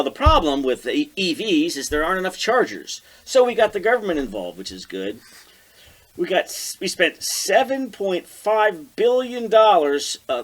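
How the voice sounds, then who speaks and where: An American man co-hosting a podcast, discussing politics casually with sardonic rhetorical questions. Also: high voice. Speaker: podcast co-host.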